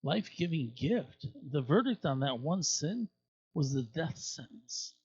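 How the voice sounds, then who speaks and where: Husky man reading scripture aloud. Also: on the high side, very animated, a little slow, muffled recording. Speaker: scripture reader at a church.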